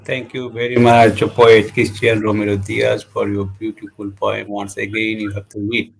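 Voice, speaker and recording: A man's voice: fairly low pitch, completely flat delivery, moderate pace, creaky, a little hiss or hum, rough recording. A man hosting a poetry program, formally introducing each poet and thanking them. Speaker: program host.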